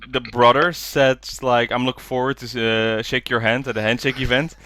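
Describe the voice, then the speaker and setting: A man's voice: mid-range voice, steady tone, a little fast, a bit bright. A man talking as a podcast panelist in casual group chat.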